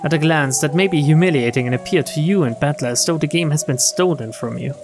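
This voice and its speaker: A man's voice: on the high side, quick pace. A man streaming a game, reading visual novel narration and dialogue aloud in a dramatic storytelling style. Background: game music.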